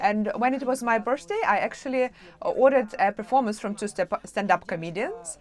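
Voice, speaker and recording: A woman hosting an interview, chatty and enthusiastic with many pauses. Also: a little high, very animated, darkish tone. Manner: chatty, enthusiastic, halting with fillers. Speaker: host. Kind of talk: interview chat.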